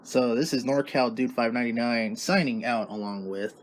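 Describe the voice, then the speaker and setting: A man's voice: medium pitch, moderate pace, a little hiss or hum. A man chatting casually as he hosts a hobby video about his radio gear. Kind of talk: casual hobby vlog chat.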